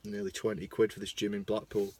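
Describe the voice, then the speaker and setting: Chatty Lancashire lad, low voice. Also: male voice, completely flat delivery, fast talker, a little hiss or hum. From Lancashire, England. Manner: chatty, self-mocking, swings between loud bursts and mumbling asides. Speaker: vlogger. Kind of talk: casual rambling monologue. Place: parked car. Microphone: phone.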